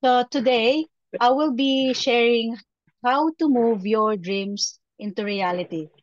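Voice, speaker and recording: Slow-talking Filipino woman giving a motivational talk. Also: on the high side, dry recording. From Philippines. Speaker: performance coach, guest speaker. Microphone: video call. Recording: dull, lo-fi webinar audio.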